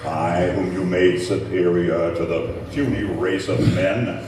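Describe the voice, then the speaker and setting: A man's voice: deep voice, fairly even intonation. A man playing a vengeful, taunting man-made monster in old-time radio horror style, with menacing, grandiose delivery. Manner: slow, menacing, grandiose declaiming. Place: community center stage, live performance. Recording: roomy live hall, distant mic, faint noise.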